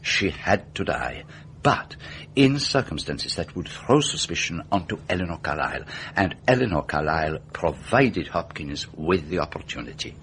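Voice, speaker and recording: Deep-voiced, animated, methodical detective. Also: male voice, slight background noise, exaggerated voice. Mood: grave, assured. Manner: deliberate, methodical, rhetorical questioning. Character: meticulous, self-assured male detective explaining a murder case. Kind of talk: radio mystery drama acting.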